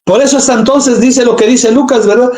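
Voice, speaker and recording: A man's voice: very high-pitched, steady tone, a little fast, darkish tone, reverberant. A man preaching an evangelical sermon, fervently exhorting the congregation and calling for amens. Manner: fervent, exhorting with calls for amen.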